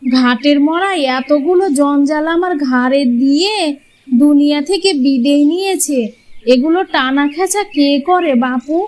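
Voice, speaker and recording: A woman's voice: sits high, unhurried, darkish tone. A woman voicing a bitter, self-pitying elder co-wife in a village cartoon, complaining and dismissive. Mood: bitter, grumbling. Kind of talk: Bengali moral-tale cartoon dubbing.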